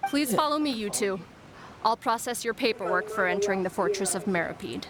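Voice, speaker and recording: An actress playing a poised, polite escort officer in a video game, formal and lightly teasing. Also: female voice, mid-range voice, brisk, a loud crowd, lightly acted. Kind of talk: game dialogue acting. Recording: loud game music behind.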